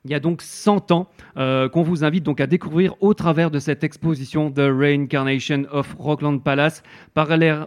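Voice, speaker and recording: A man interviewing a guest on a French radio show, halting and restarting often. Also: a little high, darkish tone. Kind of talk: presenting an exhibition and guest.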